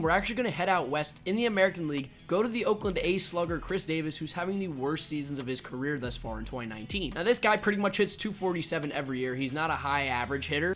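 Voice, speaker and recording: A man with a fairly high, slightly hoarse voice delivers scripted sports commentary at a quick clip. He is punchy and emphatic as a video host, with a bit of rasp. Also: a bit bright. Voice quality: a little raspy. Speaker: host of a baseball video channel. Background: background music.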